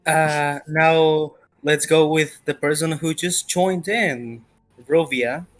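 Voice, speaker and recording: A man's voice: fairly high pitch, steady tone, takes it slowly, faint music behind. A man casually moderating introductions in a tabletop game session.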